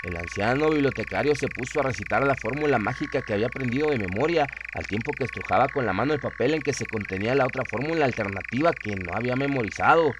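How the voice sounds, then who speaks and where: A man's voice: medium pitch, animated, fast, few pauses, background noise. A man narrating a scripted horror story in a solemn, dramatic storytelling manner.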